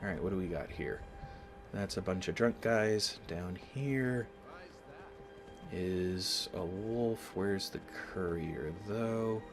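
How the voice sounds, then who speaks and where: A man with a slight cold giving casual let's-play commentary, explaining things in a deliberate, halting way. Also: low voice. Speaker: gaming video host. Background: game music.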